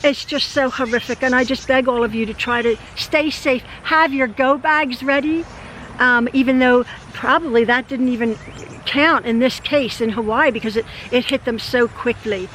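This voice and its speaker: An older woman recording a casual vlog in her backyard, speaking with a hoarse, tired voice as she rambles with many fillers and laughs. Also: normal speed, a bit bright, slight background noise, low-quality recording. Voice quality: high voice. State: hoarse, tired after illness. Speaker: channel host. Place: outdoors in a backyard. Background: birdsong.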